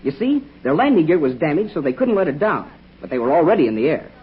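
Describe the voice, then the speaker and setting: A man's voice: a little high, big pitch swings, fast talker, slight background noise. An actor playing an earnest, cheerful American boy sidekick in 1940s radio acting style. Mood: eager. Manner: cheerful, eager, wholesome. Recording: muffled old radio recording.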